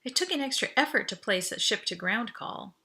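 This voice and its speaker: A woman reading a first-person story aloud as an expressive audiobook narrator. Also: on the high side, fairly quick. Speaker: audiobook reader.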